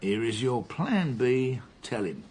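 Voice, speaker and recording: An actor playing a determined senior police detective, commanding and dryly sardonic, in a naturalistic radio drama. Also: male voice, mid-range voice, big pitch swings, slow pace, darkish tone, slight background noise. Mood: determined, exasperated.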